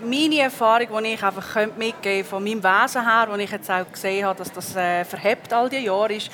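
A woman's voice: medium pitch, brisk, fairly bright tone, a little hiss or hum. A woman in her thirties with a Swiss German accent, a singer answering interview questions in a chatty way with many fillers. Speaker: guest singer.

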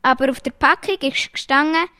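A woman reading jokes aloud in a lively storytelling way, changing her voice for the quoted lines. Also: high voice, a little fast, slight background noise.

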